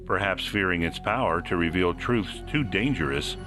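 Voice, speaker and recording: A man's voice: deep voice, normal speed, over loud music. A man hosting a podcast, reading scripted mystery narration in a suspenseful, theatrical manner. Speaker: podcast host.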